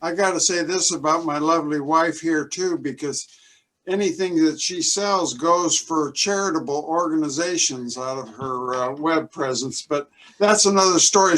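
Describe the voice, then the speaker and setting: A retired social worker chatting easily on a video call. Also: male voice, fairly high pitch, a bit bright, rough recording. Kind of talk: casual video call chat.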